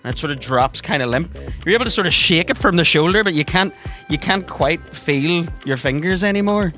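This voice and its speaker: A man's voice: on the high side, animated, fairly quick, bright, forceful tone, faint music behind. A man narrating a tabletop adventure as game master, in vivid, theatrical and descriptive language.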